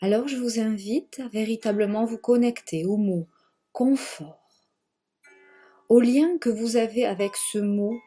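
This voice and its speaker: A woman leading a guided yoga nidra relaxation in a gentle, measured manner, leaving long pauses and repeating phrases. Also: a little high, soft background music. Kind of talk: guided meditation, slow spoken relaxation.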